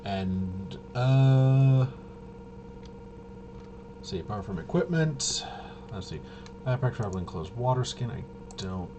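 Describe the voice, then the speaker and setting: Male, fairly low pitch, casual muttering think-aloud game walkthrough host, noisy recording. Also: expressive, slow pace, pauses often, lo-fi sound. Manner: rambling, muttering to himself, playful interjections. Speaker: host of a tabletop RPG channel.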